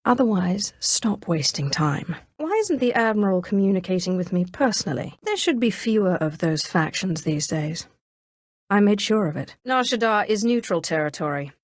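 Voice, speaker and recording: A woman's voice: medium pitch, lively intonation, normal speed, dry recording, studio quality, natural voice. A woman playing a haughty, menacing Sith sorceress in scripted video game dialogue, sardonic and imperious. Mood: imperious, impatient. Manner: imperious, sardonic, crisply commanding.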